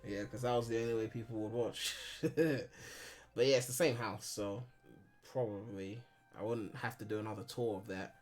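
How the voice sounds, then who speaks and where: A man's voice: fairly low pitch, fairly even intonation, normal speed, soft background music. A man in his twenties from Ghana, chatting casually on a live stream at home, rambling and candid with lots of filler and swearing. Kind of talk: casual live-stream chat answering viewers.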